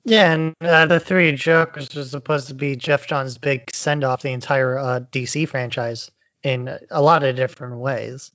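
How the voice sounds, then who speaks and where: A man's voice: medium pitch, steady tone, normal speed, fairly bright tone, no room sound, dull audio. A man co-hosting a podcast, giving his opinions in a loose, conversational style full of fillers. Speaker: podcast co-host. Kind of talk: casual podcast discussion.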